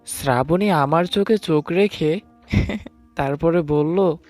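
A man's voice: on the high side, takes it slowly, darkish tone. A man narrating a dramatized first-person love story and voicing its affectionate young husband. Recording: music under the voice.

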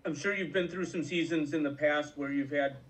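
A man's voice: on the high side, a little fast, low-quality recording. A man, a sports reporter, asking a long, rambling question at a press conference with several restarts.

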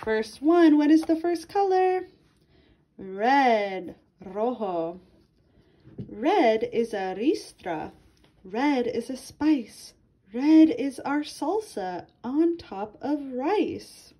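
A woman reads a picture book for young children in a high, mellow voice. She takes it very slowly, with a sing-song delivery that speaks straight to the little listeners. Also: lively intonation, rough recording. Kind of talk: children's read-aloud.

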